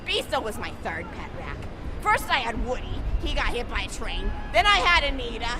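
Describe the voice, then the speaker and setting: An actress voicing a cheery, eccentric old grandmother in a video game, a doting, rambling storyteller. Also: on the high side. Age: older. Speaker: game character. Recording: game audio with music and crowd.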